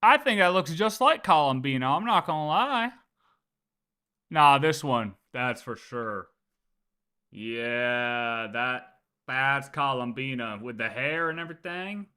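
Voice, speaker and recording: Bright, animated male streamer. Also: medium pitch, takes it slowly, clear, resonant tone. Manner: informal, slangy. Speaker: livestreamer. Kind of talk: casual reaction commentary.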